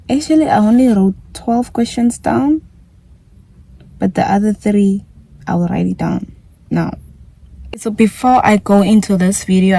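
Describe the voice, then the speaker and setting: A woman's voice: medium pitch, mellow, rough recording. Chatty young Namibian woman vlogging. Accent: Namibian English. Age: young adult. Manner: chatty, repeats herself, giggly. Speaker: YouTuber. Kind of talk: casual vlog Q&A.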